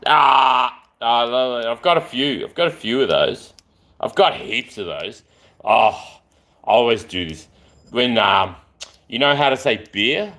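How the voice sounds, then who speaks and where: A man's voice: mid-range voice, lively intonation, a little slow, sharp tone. A man, chatting in a rambling, affectionate way on a live stream. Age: 40s.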